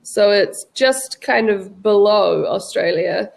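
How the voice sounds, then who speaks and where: New Zealand woman, online English tutor, unhurried and clear for a learner. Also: medium pitch, a little mellow. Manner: patient, speaks slowly and clearly for a learner. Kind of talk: casual video-call conversation. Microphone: video call.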